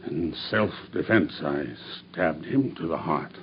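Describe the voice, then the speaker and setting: An actor playing a grim, vengeful murderer making a deliberate, matter-of-fact confession in a radio drama. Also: male voice, rumbling low. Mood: steady confession. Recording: dull radio drama recording with background noise.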